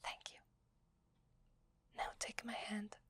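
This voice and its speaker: A woman with an Italian accent playing a tender, secretive werewolf wife in an ASMR roleplay, in a soft, hesitant whisper. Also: slow, close to an everyday voice. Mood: tender, excited.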